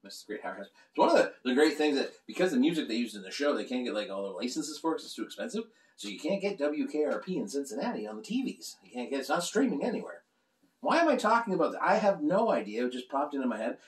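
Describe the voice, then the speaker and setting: A man's voice: mid-range voice, quick pace. Man, forties, rambling beer review, echoey small room, camera across the table. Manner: animated, joking, gestures a lot while talking. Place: small room lined with beer shelves, indoors. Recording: roomy, slightly distant sound.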